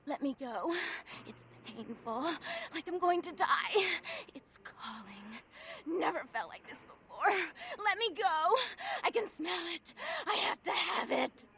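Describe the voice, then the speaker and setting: A fragile young vampire in a video game, her voice set high. She is newly turned, frightened and heavy with guilt, and her delivery is raw and pleading. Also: animated, slow pace, bright, forceful tone, exaggerated voice. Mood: desperate, pleading. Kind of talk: dramatic video game voice acting.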